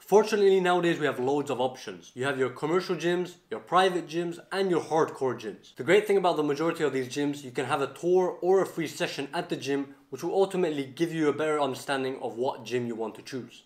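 A man in his twenties giving instructional advice to camera as a fitness coach, recorded in a roomy kitchen. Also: fairly high pitch. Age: 20s. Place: home kitchen, indoors.

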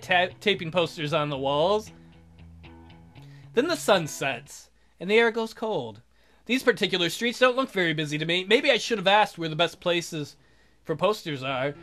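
Woman lets player reading story out loud, trips on words sometimes, guitar game music behind her. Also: medium pitch. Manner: stumbles and corrects misreadings. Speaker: let's player reading a visual novel aloud. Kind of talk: story narration read aloud with character voices.

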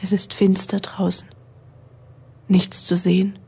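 A woman's voice: medium pitch, completely flat delivery, slow, mellow, breathy edge, heavily acted. An actress playing a frightened, watchful mother in a radio drama. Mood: hushed fear.

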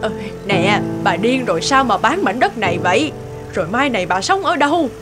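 A woman's voice: fairly high pitch, very animated, normal speed, loud music behind the voice. A woman voicing an earnest, emotional elderly woman in a cartoon family drama. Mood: distressed. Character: earnest, outspoken elderly neighbour and gentle old mother. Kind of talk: animated drama voice acting.

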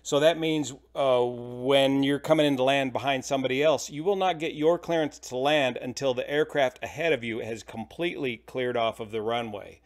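A man's voice: mid-range voice, moderate pace, hardly any pauses. A middle-aged American man giving informal, colloquial explanatory commentary as an aviation host.

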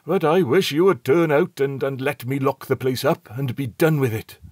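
Brief: a male reader putting on a tetchy ship's doctor, a notch higher than the narration, in a dry storybook read. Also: big pitch swings, slow pace, darkish tone, dry recording. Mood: petulant. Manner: petulant, then grave and ominous. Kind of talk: audiobook character voice.

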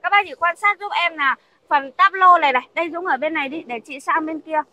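A woman's voice: sits high, brisk, bright, forceful tone. A young woman selling used cars, chatting casually to viewers and repeating her points as she walks them through a car and a showroom. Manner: chatty, repeats herself, addresses viewers as "các bác". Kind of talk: casual showroom sales walkthrough.

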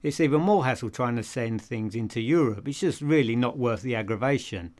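A man's voice: mid-range voice, a little fast, darkish tone. An older man with a British accent giving a casual product review to camera in a conversational, emphatic way, posing questions and answering them himself. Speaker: audio dealer and video reviewer. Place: small home office room, indoors.

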